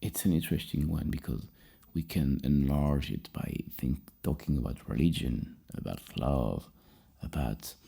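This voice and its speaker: A soft-spoken ASMR host playing a psychologist, musing in a halting way and repeating words. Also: very deep voice, vocal fry, breathy edge.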